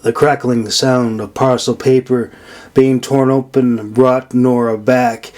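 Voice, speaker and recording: A man's voice: mid-range voice, a little mellow. A man narrating a fantasy audiobook from a script, as the author of the story. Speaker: author reading own audiobook.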